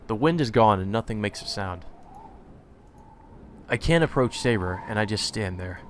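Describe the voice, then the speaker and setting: A man's voice: lowish voice, fairly bright tone, a little hiss or hum. A man reading visual novel text aloud as a let's play host, with dramatic delivery and casual asides, over game music. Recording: music playing behind the voice.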